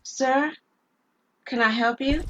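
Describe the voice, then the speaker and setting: A woman's voice: high voice, slow, lo-fi sound, voice pushed up. A woman playing a nervous but bold store cashier, speaking cautiously in an audio drama. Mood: uneasy. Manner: cautious, questioning.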